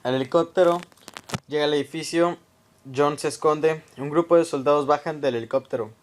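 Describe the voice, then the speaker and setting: A man's voice: a little high, brisk, noisy background. A man reading scripted narration for a radio drama, telling a story in the third person.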